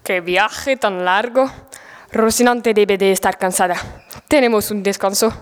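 A woman's voice: mid-range voice, fairly even intonation, normal speed, smooth, in a large hall, natural voice. A teenage woman playing a deluded, defiant old knight in an audio drama, speaking in a pompous, declamatory manner. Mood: weary. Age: teens. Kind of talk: scripted audio fiction acting.

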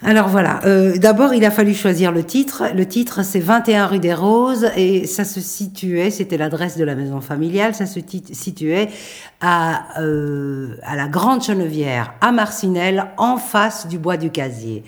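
Thoughtful woman, lower voice. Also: expressive. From Belgium. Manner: thoughtful, hesitant with many pauses and restarts. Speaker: playwright and performer. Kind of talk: radio interview.

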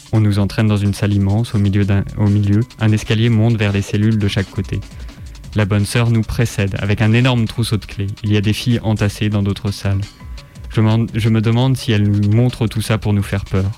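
A man's voice: on the low side, a little mellow. A man narrating a dramatic story in the first person, as a reader.

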